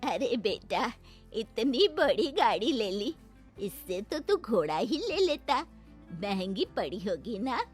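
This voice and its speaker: A woman voicing an old, tradition-bound village mother-in-law with a scolding, emphatic, drawn-out delivery in a story. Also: fairly high pitch, sing-song, slightly rough, faint music behind, exaggerated voice. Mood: surprised.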